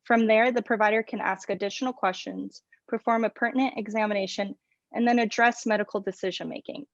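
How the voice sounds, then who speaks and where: A woman's voice: medium pitch, fairly even intonation, brisk, no room sound. A woman giving scripted explanatory answers as a panelist on a health education program. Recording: dry, dull lo-fi sound.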